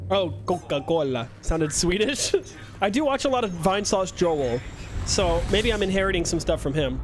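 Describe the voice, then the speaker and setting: A man's voice: sits high, lively intonation, normal speed. A young man streaming a game live, chatting with his viewers in a joking, self-mocking way. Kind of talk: casual live-stream chat while playing.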